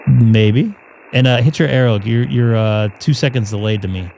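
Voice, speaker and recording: A man hosting a ham radio live stream, chatting loosely with lots of fillers as his voice begins to wear and go hoarse. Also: medium pitch, moderate pace, mellow, faint music behind. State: voice getting worn, starting to go hoarse. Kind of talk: casual chat to a live stream audience. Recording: noisy background hum.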